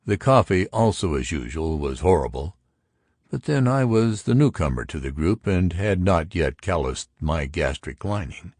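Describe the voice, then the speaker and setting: A man's voice: deep voice, animated, moderate pace, darkish tone, no room sound, studio quality. A man reading a story aloud as an audiobook narrator. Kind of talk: audiobook narration with dialogue in raised pitch.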